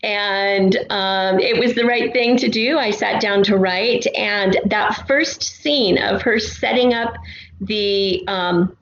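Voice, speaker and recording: Woman writer chatting in an interview, kinda halting storytelling, echoey room, bit of background noise. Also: medium pitch. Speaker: guest, writer of an audio drama podcast. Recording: roomy, dull, noisy amateur recording.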